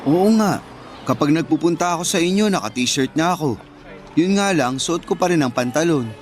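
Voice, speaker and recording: A man's voice: on the high side, animated, moderate pace, close to an everyday voice. Male actor as young worker and boyfriend, conversational and protesting, radio drama, light music bed. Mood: mildly aggrieved. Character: frustrated young male fast-food worker, devoted boyfriend.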